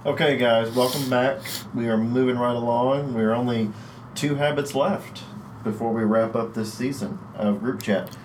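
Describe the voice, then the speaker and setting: A man's voice: medium pitch, steady tone, moderate pace, a little hiss or hum. A man hosting a church podcast, reading a friendly, upbeat intro and asking his guest questions. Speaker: podcast host.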